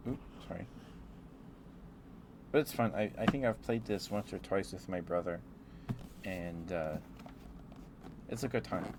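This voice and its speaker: A man chatting in a rambling, affectionate ASMR style to live viewers, speaking softly into a close microphone. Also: low voice, unhurried, pauses often. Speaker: ASMR livestreamer. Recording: clear background noise.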